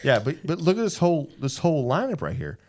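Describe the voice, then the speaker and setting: A man's voice: a little high, sing-song, quick pace, darkish tone. A man co-hosting a casual podcast, giving opinions emphatically, repeating himself and often checking in with "right?". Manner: emphatic, repetitive, often tagging statements with "right?". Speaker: podcast co-host. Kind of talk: casual opinionated panel chat.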